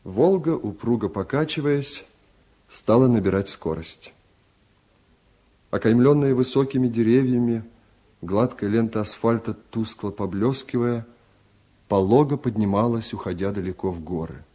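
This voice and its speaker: Male reader for a radio story: mellow, husky, unhurried and reflective. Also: on the low side. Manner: measured, reflective, restrained. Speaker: narrator. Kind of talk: literary reading for radio.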